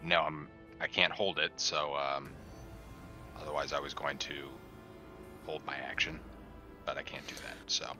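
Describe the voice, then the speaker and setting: A man's voice: very deep voice, steady tone, unhurried, vocal fry, pauses often, over music. A man chatting casually as a player in a tabletop game. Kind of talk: casual chat.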